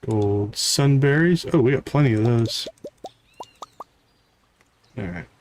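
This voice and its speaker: A man's voice: mid-range voice, animated, slow pace, darkish tone, a little hiss or hum. A man doing casual live game commentary, thinking aloud and talking himself through each task step by step. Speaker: live game streamer, host.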